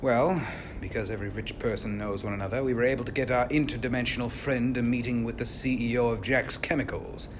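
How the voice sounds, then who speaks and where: An actor playing a secretive, composed gentleman investigator in an audio drama, with a measured, formal and politely authoritative manner. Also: mid-range voice, steady tone, background noise, close to an everyday voice. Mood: pleased.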